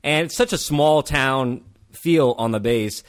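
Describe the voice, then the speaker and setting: A man co-hosting a podcast in casual chat who grew up in Japan, playful and animated, often laughing and slipping into silly voices. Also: medium pitch, a little slow. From Japan (grew up on US bases there). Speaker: podcast co-host.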